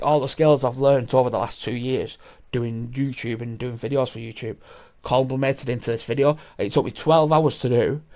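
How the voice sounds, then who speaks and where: A man's voice: mid-range voice, darkish tone. A man, hosting a podcast in casual chat, swearing and rambling with many restarts. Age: 30s. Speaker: podcast host.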